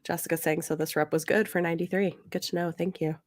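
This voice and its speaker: Female co-host, slightly low pitch, dry sound; informal, halting book chat. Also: steady tone, a little mellow, dry recording. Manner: informal, halting, thinking aloud. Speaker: podcast co-host. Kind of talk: casual book discussion chat.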